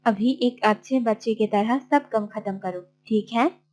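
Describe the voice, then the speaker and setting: A woman's voice: on the high side, unhurried, darkish tone. A woman voicing a stingy, bossy village aunt in a children's cartoon, haughty and scolding. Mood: bossy, coaxing. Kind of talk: children's cartoon story voice acting.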